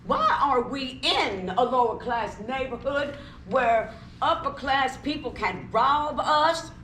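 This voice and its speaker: Bright, bossy rich skateboarder kid played by a woman. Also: high voice, animated, takes it slowly, full character voice. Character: bragging, bossy, snobbish rich young skateboarder. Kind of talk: amateur play reading. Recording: echoey room with faint noise.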